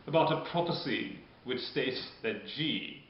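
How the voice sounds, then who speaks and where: A man performing a bitter, scheming deformed nobleman in a modern-style Shakespeare monologue, speaking deliberately with dramatic pauses. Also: mid-range voice, lively intonation, close to an everyday voice. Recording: dull, very echoey room, background hiss.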